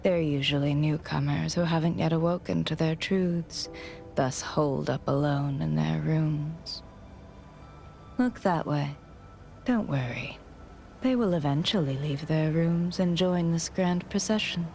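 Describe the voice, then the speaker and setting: An actress playing an enigmatic, detached woman guide in a video game, speaking in a measured, formal, cryptic way. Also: low-pitched, gravelly, a little mellow, soft background music. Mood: calm, mysterious.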